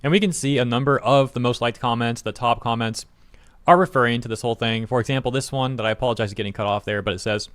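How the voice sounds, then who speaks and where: A man's voice: mid-range voice, fast, fairly bright tone, smooth, no room sound. Dry-voiced man reading out comments. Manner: blunt, exasperated, mildly sarcastic. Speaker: co-host reacting to online drama.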